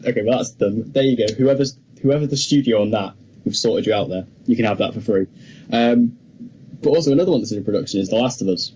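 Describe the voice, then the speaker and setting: A man's voice: fairly low pitch, quick pace, darkish tone, a little hiss or hum, low-quality recording. A young man co-hosting a podcast, chatting casually and enthusiastically with plenty of opinions. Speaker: podcast co-host. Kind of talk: casual film chat.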